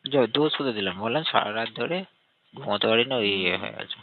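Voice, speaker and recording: A man with a gravelly voice chatting casually and intimately, in a complaining, coaxing way, over a tinny phone line. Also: mid-range voice, lively intonation, fast talker, slight background noise.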